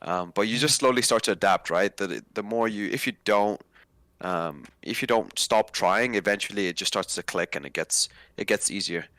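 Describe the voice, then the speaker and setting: Man, twenties, from Nigeria, lowish smooth voice, dry sound, conversational interview guest. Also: moderate pace, bright, forceful tone, no room sound. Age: 20s.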